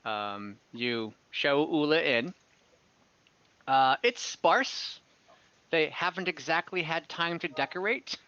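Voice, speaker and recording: A man narrating a tabletop game as game master in an informal, halting, improvised way. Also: on the high side, pushed and bright, clean tone, no room sound, muffled recording.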